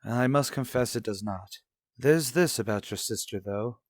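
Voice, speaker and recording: An actor playing a stern, guarded husband in a radio soap drama, speaking in a formal, measured, skeptical way. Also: male voice, mid-range voice, no room sound, studio quality, lightly acted. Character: stern, guarded husband and father in troubled marriage. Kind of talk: revived vintage radio soap drama acting.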